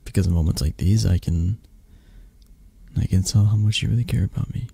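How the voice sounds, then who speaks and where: A man playing a possessive, tender mafia boss lover in intimate roleplay ASMR, murmuring softly and hesitantly with a breathy, gravelly voice. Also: sits low, steady tone, a little fast, no echo, exaggerated voice. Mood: tender, loving.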